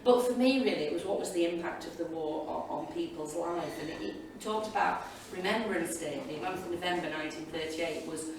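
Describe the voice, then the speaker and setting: Lower-voiced chatty woman in an echoey hall. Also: brisk, hardly any pauses. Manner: chatty, enthusiastic, digressive. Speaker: history teacher, panelist. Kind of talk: informal spoken reflections to an audience. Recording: echoey hall, distant mic, loud room noise.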